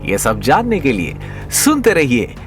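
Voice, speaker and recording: A man's voice: medium pitch, wildly swooping pitch, unhurried, soft background music. A man narrating a comic audio story in a dramatic scripted style.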